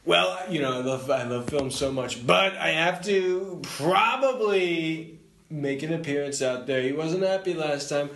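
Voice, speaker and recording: A man's voice: fairly high pitch, unhurried, creaky, fairly bright tone. A man playing a boastful older president in improvised comedy banter, pompous, rambling and repeating himself, in an echoey room. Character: boastful older male president, parody of a real politician.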